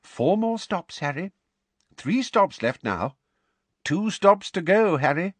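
A man's voice: medium pitch, wildly swooping pitch, normal speed, breathy edge, no echo, voice pushed up. A man doing an eager, kindly wizard dad. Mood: bracing. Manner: bracing, enthusiastic. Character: kindly middle-aged wizard father, eager and bracing. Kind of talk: audiobook character voice.

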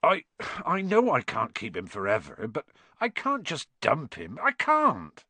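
Big anxious softie besotted with his pet, played by a male narrator, very animated, dry recording. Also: mid-range voice, slow, no echo, full character voice. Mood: distressed. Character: anxious doting big man besotted with his baby dragon.

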